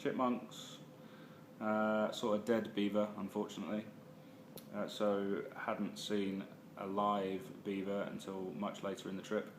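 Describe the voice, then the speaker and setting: A man's voice: fairly low pitch, flat delivery, a little slow, noisy background. A man casually recapping his travels for a vlog, full of ums and uhs and self-corrections. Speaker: travel vlogger. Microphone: phone or handheld camera.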